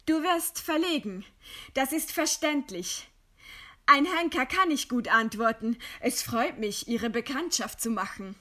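A condemned woman writer in a radio drama. Her voice is fairly high and she speaks very slowly, weighing each phrase. She stays composed and proud, with ironic scorn. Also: expressive, bright, forceful tone, exaggerated voice. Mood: cool irony. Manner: slow, deliberate, ironic. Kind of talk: German radio play acting.

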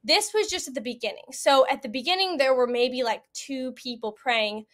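A woman's voice: high-pitched, moderate pace, fairly bright tone. A young American woman, a podcast guest giving a casual, chatty first-hand account. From United States. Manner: chatty, conversational.

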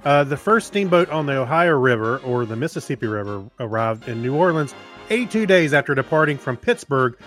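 A middle-aged man co-hosting a podcast, chatting casually in a halting, rambling way. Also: mid-range voice, lively intonation, fairly bright tone, faint music behind. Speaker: podcast co-host. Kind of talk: casual chat.

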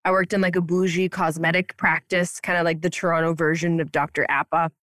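A woman's voice: lowish voice, level tone, a little fast, a bit bright, no room sound, studio quality. A woman in her thirties from Romania, a dental hygienist and practice owner, chatting playfully on a podcast with lots of slang and "like". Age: 30s. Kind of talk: casual podcast chat. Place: her office upstairs at her practice.

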